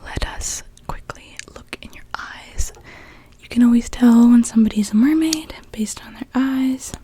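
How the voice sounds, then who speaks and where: A young woman playing a gentle, welcoming witch in a soft, soothing whispered ASMR roleplay. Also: on the high side, measured pace, slight background noise, close to an everyday voice. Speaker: ASMR performer.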